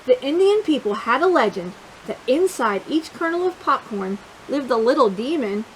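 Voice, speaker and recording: A high-pitched woman reads a children's book aloud with very lively, swooping intonation. She stresses and stretches key words and chats to young listeners in asides. A faint-to-clear hiss runs under the recording. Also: normal speed, rough recording. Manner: expressive, emphatic, drawing out key words. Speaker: children's storytime reader.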